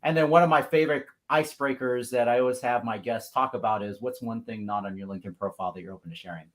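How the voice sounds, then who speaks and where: A man from the Pacific Northwest hosts a livestream over a video call. His voice sits at an ordinary mid pitch. He is effusive and upbeat, with plenty of "uh"s and "gosh"es. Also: fast, fairly bright tone. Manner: upbeat, effusive, many fillers. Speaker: host. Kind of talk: livestream talk show hosting.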